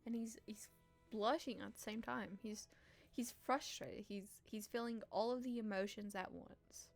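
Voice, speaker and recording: A woman live-streaming a visual novel, reading aloud and reacting casually in a playful, expressive, teasing way. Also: a little high, unhurried, gravelly, faint music behind.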